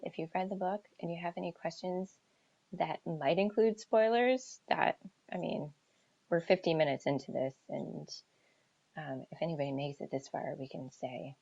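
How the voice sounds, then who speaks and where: An American woman chatting casually as an author on a livestream Q&A, thinking aloud in a halting, reflective way and often trailing off. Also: on the low side, gravelly, darkish tone, breathy edge. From New Hampshire seacoast, United States. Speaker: author answering audience questions. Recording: dull lo-fi livestream audio.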